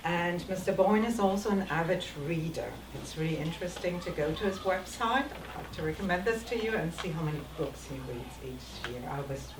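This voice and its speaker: A teacher making a polite, formal welcome speech at a school reading, speaking English as a German native with some ums. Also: female voice, fairly low pitch, expressive, background noise. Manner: polite, careful, with ums and pauses. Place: school hall. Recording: echoey hall, distant mic, audible ambience.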